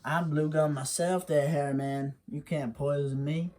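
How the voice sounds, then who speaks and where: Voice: medium pitch, fairly even intonation, a little slow, darkish tone, close to an everyday voice. A storyteller voicing a clever, stubborn country mother who speaks slyly and defiantly. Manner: sly, defiant. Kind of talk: oral folktale telling.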